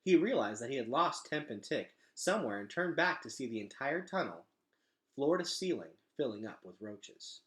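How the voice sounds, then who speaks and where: A man reading a children's novel aloud in an expressive, dramatic storytelling style. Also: mid-range voice, moderate pace. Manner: animated, dramatic delivery.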